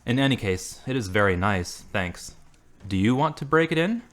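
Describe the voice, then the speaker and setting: A man playing an earnest, devout man in an amateur audio drama, speaking reflectively with gentle humor. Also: medium pitch, expressive, normal speed, close to an everyday voice. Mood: pleased.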